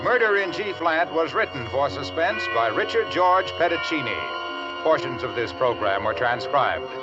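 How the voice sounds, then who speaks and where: A man's voice: a little high, sing-song, normal speed. A man announcing on 1950s radio, reading sponsor messages and credits in a polished, emphatic way. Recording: dull vintage radio broadcast with music.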